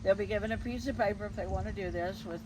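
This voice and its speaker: A woman's voice: mid-range voice, fast talker. A woman hosting a casual interview in a chatty, informal way. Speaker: interviewer, host. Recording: noisy outdoor recording, street and traffic sound.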